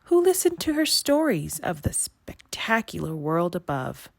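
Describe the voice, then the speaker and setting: Low-voiced, unhurried woman storybook reader. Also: big pitch swings, vocal fry, no echo, studio quality. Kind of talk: expressive children's read-aloud narration.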